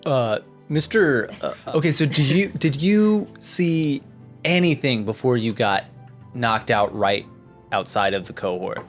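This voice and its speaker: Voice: mid-range voice, lively intonation, measured pace. Casual tabletop player over music. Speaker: player in a tabletop game show. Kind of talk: casual role-play chat. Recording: music bed under the voice. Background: background music.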